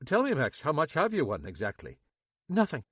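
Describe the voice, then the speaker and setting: A man's voice: a little high, wildly swooping pitch, brisk, a bit bright, no room sound, clean studio sound, voice pushed up, exaggerated voice. A man voicing an older police inspector, firm and bluntly indignant, with a Scottish accent.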